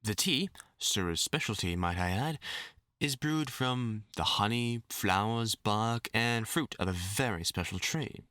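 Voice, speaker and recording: A man's voice: lowish voice, unhurried, bright, forceful tone, no room sound, studio quality. A man with a British accent playing a courteous magician in an audio drama, explaining things formally and apologetically with some hesitation. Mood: calm, explanatory. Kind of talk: character audio drama monologue.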